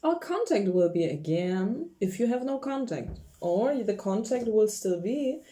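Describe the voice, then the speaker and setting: Woman, mellow voice, chatty tarot reading, laughs a lot. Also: medium pitch, lively intonation, unhurried. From Poland. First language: Polish. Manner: chatty, laughs often, gropes for English words. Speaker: tarot reader. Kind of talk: casual tarot reading to camera.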